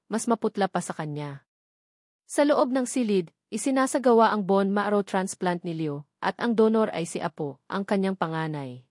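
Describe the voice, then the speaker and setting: A woman's voice: medium pitch, a little mellow, no room sound, clean studio sound. A woman with a Filipino accent reading a scripted romantic drama story as its narrator.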